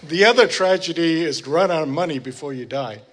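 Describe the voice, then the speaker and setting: Male church interpreter with a fairly high voice, renders each phrase quickly and corrects himself as he goes. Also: a bit bright. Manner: searches for words and corrects himself mid-phrase.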